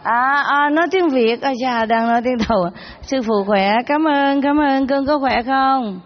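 A woman spiritual teacher chatting gently with children, laughing often and switching between languages. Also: sits high, normal speed, muffled recording, slight background noise. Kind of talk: casual chat with children at a gathering.

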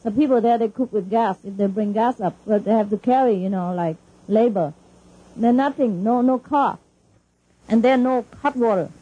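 Middle-aged woman teacher, Vietnamese accent, husky and mellow, muffled lo-fi tape. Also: on the high side, moderate pace. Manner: chatty, laughing often, mixing in Vietnamese. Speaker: spiritual teacher. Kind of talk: informal talk to disciples.

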